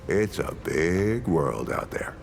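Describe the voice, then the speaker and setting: An actor playing an old, folksy, world-weary leader and storyteller in a video game, with a gravelly voice and unhurried delivery. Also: male voice, sits low, lively intonation, faint music behind, full character voice. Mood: wry, welcoming. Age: older. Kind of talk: video game character dialogue.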